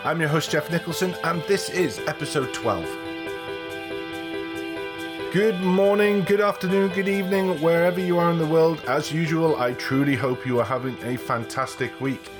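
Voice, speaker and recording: A man hosting a business podcast, chatty and rambling, his voice a bit croaky from a cold. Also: on the high side, normal speed, over music. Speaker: podcast host. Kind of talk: casual podcast talk.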